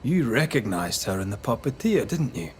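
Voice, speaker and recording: An actor playing a resolute male ghost hunter in a video game, accusing in a stern, measured, solemn way. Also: mid-range voice, very animated, a little hiss or hum, lightly acted. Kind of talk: dramatic video game acting.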